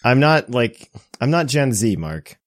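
A man's voice: medium pitch, very animated, unhurried, no echo. A man hosting a podcast in a casual, joking, self-deprecating chatty way. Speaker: podcast host. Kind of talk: casual chat.

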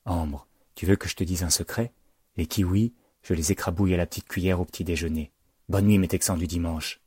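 A man voicing a tender, easily delighted mother who speaks softly and affectionately in an audiobook. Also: sits low, steady tone, fairly quick, husky, no echo, clean studio sound. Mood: playful, whispering. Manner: soft, affectionate.

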